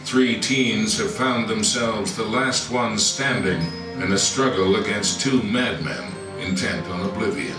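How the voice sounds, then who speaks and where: Grave, doom-laden trailer narrator, male actor, lowish voice, loud guitar music underneath. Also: a little slow, few pauses, natural voice. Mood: grave, ominous. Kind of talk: dramatic scripted trailer narration. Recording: echoey distant playback, loud guitar music.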